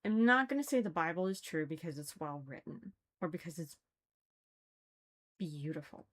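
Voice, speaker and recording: A woman giving casual, critical commentary, thinking aloud haltingly and repeating phrases for emphasis. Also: deep voice, expressive, fairly bright tone. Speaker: reader commenting on a religious text.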